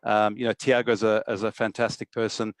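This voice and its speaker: A man's voice: fairly low pitch, flat delivery, brisk, dry recording. A middle-aged man with a South African accent, a guest in a podcast interview, speaking fluently and often repeating words as he starts a thought. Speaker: guest, sales executive.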